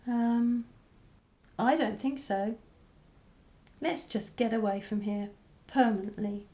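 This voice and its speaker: A woman playing a gentle, anxious mother and wife in a radio play, a little hesitant. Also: on the high side, takes it slowly. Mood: uneasy.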